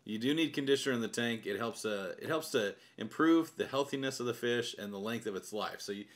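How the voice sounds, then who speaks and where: A man's voice: mid-range voice, lively intonation, fairly quick. A man co-hosting a casual home video, explaining things patiently with uh fillers and repeated words. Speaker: co-host prompting and explaining.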